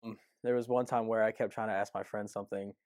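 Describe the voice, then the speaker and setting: A man's voice: on the low side, little pitch movement, darkish tone, no echo, studio quality. A man who stutters, speaking openly about it in a documentary interview. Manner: stutters, talks openly and quickly.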